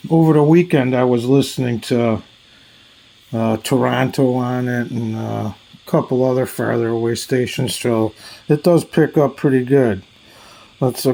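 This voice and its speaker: A man giving a casual, hands-on product demonstration as a reviewer, speaking in a plain, halting way. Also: medium pitch, gravelly, darkish tone, rough recording. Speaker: radio reviewer, host.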